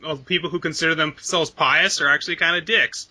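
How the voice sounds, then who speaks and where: A man's voice: on the high side, fairly even intonation, fairly quick, pushed and bright. A man co-hosting a casual gaming podcast, laughing easily and moving the talk along. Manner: laughs easily, steers the topic list. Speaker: podcast co-host. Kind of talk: casual chat.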